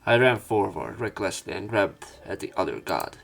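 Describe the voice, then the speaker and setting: A man reading a visual novel aloud with commentary, in a theatrical, expressive way. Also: low voice, moderate pace, vocal fry, faint music behind. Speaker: let's player narrating and commenting on a visual novel. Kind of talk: dramatic read-aloud with commentary.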